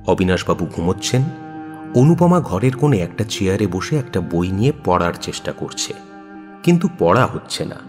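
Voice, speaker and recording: A man's voice: low voice, a little mellow. A man narrating a scripted audio story expressively, in the role of narrator.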